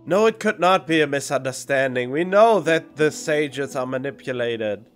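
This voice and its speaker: A man who is not a native English speaker, giving casual, blunt game commentary with halting phrasing. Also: medium pitch. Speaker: game streamer. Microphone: studio condenser microphone. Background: faint game music.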